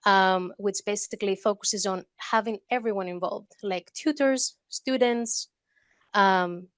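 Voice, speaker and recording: A woman's voice: medium pitch, unhurried, a bit bright, no room sound, clean studio sound. A woman from Greece with a Greek accent, giving a conversational conference talk at a mic, with fillers and restarts. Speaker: conference speaker. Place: conference hall. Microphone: handheld or lectern mic.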